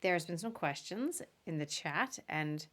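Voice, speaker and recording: Woman podcast host, kinda low voice, chatty casual interview questions. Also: lively intonation, unhurried, fairly bright tone. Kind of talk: casual interview on a live video show.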